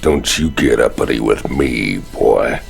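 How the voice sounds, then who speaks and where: Huge gruff convict outlaw, super low growly drawl, slow and cocky, game cutscene. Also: male voice, faint music behind, heavily acted. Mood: boastful, needling. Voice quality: gravelly, very deep. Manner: drawling, folksy, taunting. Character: big gruff swaggering male outlaw convict.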